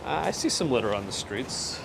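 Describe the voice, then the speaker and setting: A man's voice: medium pitch, normal speed, gravelly. A man hosting a live walking stream on a city street, chatting casually and answering viewer comments as he walks, recorded on a phone on a handheld gimbal. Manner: relaxed, conversational, reads out and replies to chat messages. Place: outdoors on a city street at sunrise. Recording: loud street noise outdoors. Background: city traffic and street noise.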